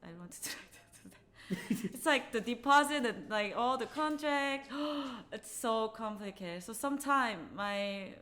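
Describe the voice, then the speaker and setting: A woman's voice: a little high, expressive, a little slow, few pauses, sharp tone. A woman from South Korea, a native Korean speaker with a Korean accent, chatting casually as a podcast co-host. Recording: faint background noise.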